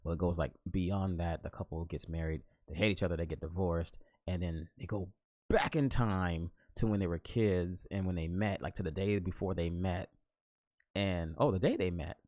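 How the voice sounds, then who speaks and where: A man's voice: low-pitched, fairly even intonation, normal speed, darkish tone, dry recording. A man in his thirties from the United States hosting a solo podcast, talking casually and rambling with frequent restarts and fillers. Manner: informal, drops into "ain't" slang. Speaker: podcast host. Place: home, living in Korea.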